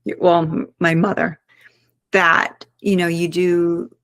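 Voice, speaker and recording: A woman with a lowish voice talks as the guest of an interview, reflective and earnest, working her thoughts out aloud. The sound is dry and close, with little room in it. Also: little pitch movement, takes it slowly, a bit bright, dry recording. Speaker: author guest. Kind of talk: interview conversation.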